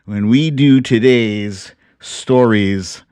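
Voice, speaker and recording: A man's voice: fairly low pitch, very slow, a little mellow, clear, resonant tone, no room sound. An American man in his fifties hosting a casual live online show, playful and jokey, drawing out words theatrically. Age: 50s. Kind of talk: casual livestream chat.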